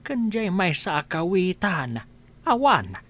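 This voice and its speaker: A woman's voice: fairly low pitch, very animated, slow pace, full character voice. A woman playing a plain-spoken, grumbling old village father in a radio comedy drama. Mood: dismayed.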